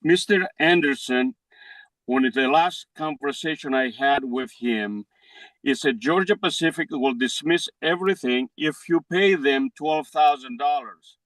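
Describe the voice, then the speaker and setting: An older man with a Greek accent, Greek his first language, pleading his case to a judge in halting, broken English. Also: medium pitch, a bit bright, no room sound, poor audio. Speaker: defendant in court. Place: courtroom.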